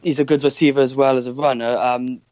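A man with a Welsh accent, a guest scout on a sports podcast, giving his measured views with hesitant restarts. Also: medium pitch, level tone, a little mellow, no room sound. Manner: measured, hesitant, starts over. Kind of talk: casual podcast chat. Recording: dry, dull lo-fi sound.

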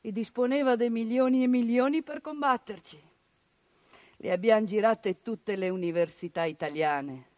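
A woman delivering an impassioned theatrical monologue, building rhetorical lists and dropping into hushed bitterness. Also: a little high, moderate pace, no echo. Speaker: stage actress.

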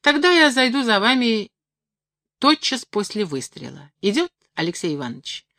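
A woman voicing an earnest, awkward gentleman admirer in an audiobook, courteous and somewhat solemn. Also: on the high side, sing-song, moderate pace, no room sound, studio quality, voice pushed up. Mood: courteous, eager.

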